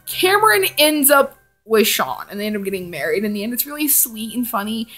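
A woman's voice: on the high side, big pitch swings, fairly quick, a bit bright. A young woman vlogger chatting casually and reading fan fiction in a rambling, giggly way. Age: young adult. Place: living room indoors.

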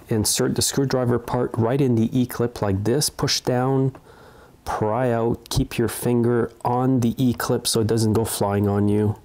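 A man's voice: medium pitch, a little mellow. A middle-aged man narrating a hands-on repair tutorial in a plain-spoken, step-by-step way. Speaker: small engine repair host. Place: indoor repair workshop.

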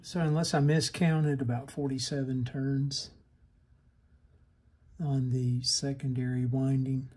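A man narrating a hands-on repair demonstration, haltingly thinking aloud as he works at a bench indoors. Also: medium pitch, level tone, a little mellow, breathy edge. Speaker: host of a radio repair channel.

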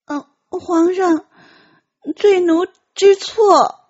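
A woman voicing an anxious, kind-hearted young woman disguised as a eunuch, soft and apologetic in an audiobook drama. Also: very high voice, drawn-out words, lo-fi sound, pitched above the performer's own voice, full character voice. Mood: timid, sorry. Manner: flustered, hesitant, pleading.

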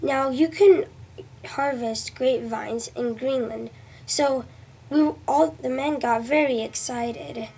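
A woman's voice: high-pitched, vocal fry, dark tone, a little hiss or hum, heavily acted. A teenage girl playing the Viking explorer Leif Erikson for a school report, reading earnestly with many restarts, recorded on a webcam in a small room. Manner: reads haltingly with restarts. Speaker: student presenter. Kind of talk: school history report in character. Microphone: webcam at close range.

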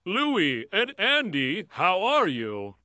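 A woman's voice: fairly low pitch, wildly swooping pitch, slow pace, sharp tone, no echo, full character voice. A woman voicing a bold, swaggering male vegetable character for a storybook. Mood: friendly.

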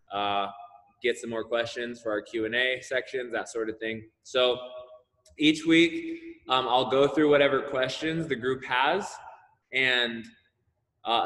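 A man's voice: medium pitch, fairly bright tone. A man coaching volleyball over a video call from a large indoor gym, explaining the plan in a loose, chatty way with plenty of "um" and "uh." His voice rings with the long reverb of the gym around him. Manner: casual, explanatory, lots of fillers. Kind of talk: online coaching seminar.

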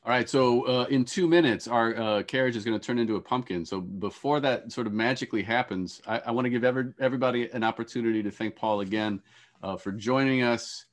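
A middle-aged man moderating an online panel, speaking haltingly and correcting himself, on a headset over a video call. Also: mid-range voice. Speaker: panel moderator, theatre professor. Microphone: earphone headset on a video call.

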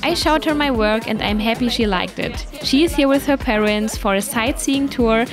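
A woman's voice: on the high side, moderate pace. A woman with a German accent, a beauty photographer, talking to strangers on a city street and narrating in an upbeat, friendly way. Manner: upbeat, laughs often. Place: busy city square outdoors. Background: music and crowd.

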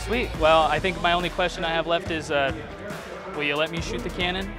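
A man's voice: a little high, fairly even intonation, fairly quick. A young man hosting a video, interviewing people casually on location. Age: young adult. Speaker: video host. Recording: loud music under speech. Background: music.

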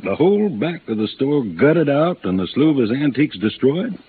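An actor playing a folksy, talkative insurance agent, in a 1950s radio drama. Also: male voice, mid-range voice, big pitch swings, normal speed, natural voice. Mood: riled. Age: 50s. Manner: folksy, garrulous, colloquial. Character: folksy, stocky insurance agent in his late fifties, talkative and riled. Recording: noisy, tinny old radio recording.

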